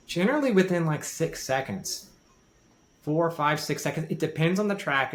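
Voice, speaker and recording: A man talking casually and explaining in detail, often repeating and rephrasing for emphasis. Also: a little high. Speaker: podcast guest with a music background. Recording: roomy, echoey sound.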